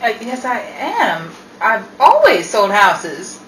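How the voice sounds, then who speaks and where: A woman acting a brisk, pushy, easily flustered businesswoman realtor in 1940s radio drama style, theatrical and exclamatory. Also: mid-range voice, wildly swooping pitch, fairly bright tone, exaggerated voice. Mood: flustered, indignant. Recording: echoey room, distant mic, faint noise.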